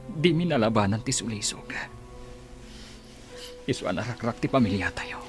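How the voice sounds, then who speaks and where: An actor playing a gentle, regretful, loving father in a radio drama, tender and earnest. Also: on the low side, expressive, normal speed, over music, natural voice. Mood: tender, remorseful.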